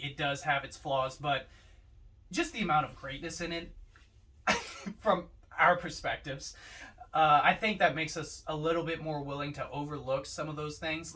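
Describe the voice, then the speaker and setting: A man's voice: a little high, pushed and bright, distant mic. A man co-hosting a podcast, chatting about films in a reflective, explanatory way. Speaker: podcast co-host. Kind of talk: casual film discussion.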